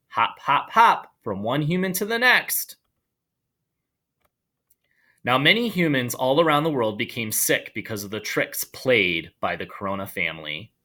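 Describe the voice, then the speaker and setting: A man reading a children's story aloud in an animated storytelling style. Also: fairly high pitch, creaky. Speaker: storybook reader.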